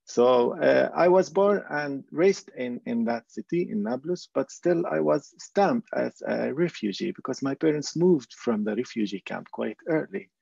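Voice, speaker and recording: A man from Palestine who works as a clown, speaking on a panel with hesitant pauses and many fillers. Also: medium pitch, lively intonation, creaky, a little mellow, breathy edge, no room sound, muffled recording. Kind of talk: panel talk.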